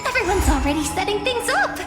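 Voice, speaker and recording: A woman's voice: very high-pitched, big pitch swings, fast. An actress voicing a cheerful young woman who guides and encourages in a video game. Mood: excited, inviting. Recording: reverberant hall, music behind.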